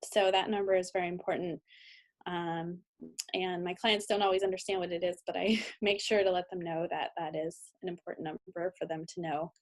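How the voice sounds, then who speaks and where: A woman with an American accent presenting training slides as a lawyer, instructional and informal, with frequent hesitant pauses and ums. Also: lowish voice, fairly even intonation. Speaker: immigration lawyer giving training.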